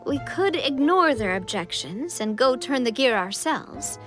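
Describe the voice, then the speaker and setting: A young boss turned king in a video game cutscene. Her voice is high and sweeps expressively up and down, poised and gracious, over background music. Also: big pitch swings, measured pace. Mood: earnest. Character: confident, gracious young female leader playing a king.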